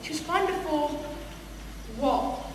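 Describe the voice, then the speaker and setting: A distant, very echoey stage-like recording of a radio play. A young woman plays a demanding, whiny schoolboy with a high, complaining voice. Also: slow pace. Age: young adult. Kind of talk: comedic radio play acting. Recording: distant echoey hall, clear background noise.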